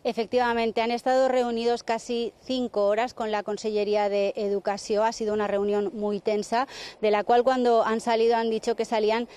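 Clear-voiced female news correspondent. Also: fairly high pitch, little pitch movement, fairly quick, mellow. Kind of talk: live news report.

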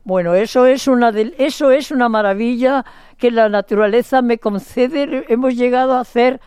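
A woman's voice: a little high, moderate pace, darkish tone, clean tone, no echo, studio quality. An older woman from Spain giving a chatty, playful interview, rambling and restarting her sentences often. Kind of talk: casual phone interview.

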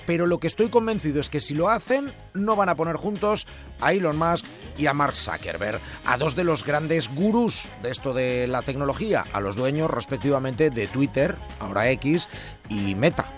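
A man's voice: medium pitch, fairly bright tone. A man with an accent from Spain hosting a radio show, informal and conversational, talking straight to listeners. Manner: informal, addresses listeners directly. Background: music.

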